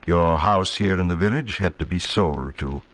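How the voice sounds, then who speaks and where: An actor playing a grave, courtly small-town judge, formal and solicitous, in a 1940s radio drama on a noisy old recording. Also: male voice, low-pitched, normal speed, a bit bright. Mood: regretful, grave.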